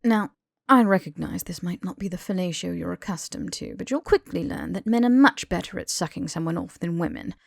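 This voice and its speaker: A smug, posh young man, voiced by a woman in an audiobook, lecturing and teasing with wordy self-importance. The voice has a gravelly fry and sits very close and dry. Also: lowish voice, a little fast, no echo. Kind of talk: audiobook character dialogue.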